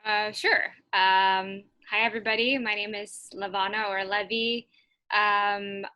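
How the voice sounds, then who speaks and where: Bright-voiced woman on a panel. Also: mid-range voice, no room sound. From Indonesia. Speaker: startup founder and panelist. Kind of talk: spontaneous panel answer.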